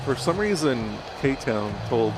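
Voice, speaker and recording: Animated male color commentator. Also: mid-range voice, takes it slowly, over music. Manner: chatty, rambling. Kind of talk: sports broadcast commentary, banter. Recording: loud crowd and noise bed. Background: loud crowd ambience.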